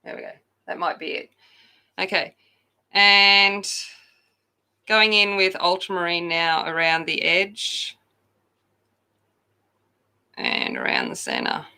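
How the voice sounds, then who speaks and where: A woman hosting a coloring channel, chatting loudly and casually with viewers while she colors, often poking fun at herself. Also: lowish voice, very animated, slow pace, creaky, long pauses, clear, resonant tone. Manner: loud, chatty, self-deprecating.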